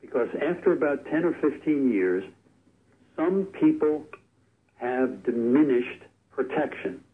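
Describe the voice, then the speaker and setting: A man's voice: medium pitch, expressive. A man explaining steadily as a medical expert calling into a radio show, heard over the telephone. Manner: measured, explanatory. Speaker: guest vaccine expert on phone. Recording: tinny phone line on radio.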